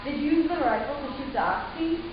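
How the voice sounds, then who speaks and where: A woman playing a composed, protective alien matriarch in a dramatic story reading, speaking in a measured, formal, persuasive way. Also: high voice, animated. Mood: probing, solemn. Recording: distant, very echoey room, muffled, hissy.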